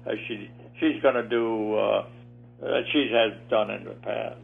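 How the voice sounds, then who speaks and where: A man of eighty-nine talks by phone, rambling and circling back on his words. His voice is gravelly and the line sounds thin and tinny, with faint music underneath. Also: fairly low pitch, steady tone, unhurried. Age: 80s. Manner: rambling, repeats words, chatty. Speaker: guest. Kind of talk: casual phone interview. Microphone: telephone.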